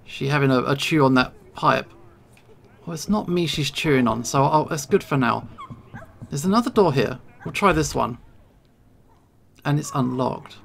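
Nervous gamer with a creaky voice. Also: mid-range voice, moderate pace, long pauses. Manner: nervous, jittery self-talk. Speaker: video game streamer. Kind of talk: let's play commentary.

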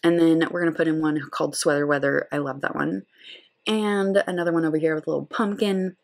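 A woman's voice: deep voice, fairly even intonation, fairly quick, vocal fry, a little mellow. A woman in her thirties hosting a planner channel, chatting casually and upbeat while setting up a weekly spread. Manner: chatty, upbeat, laughs often. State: voice lower than usual today. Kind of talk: casual plan-with-me voice-over.

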